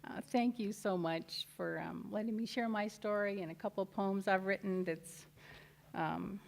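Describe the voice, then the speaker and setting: A soft-spoken woman reading her story and poems to an audience, a little nervous and using fillers. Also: mid-range voice, gravelly. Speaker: guest reader and poet. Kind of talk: personal story and poetry reading.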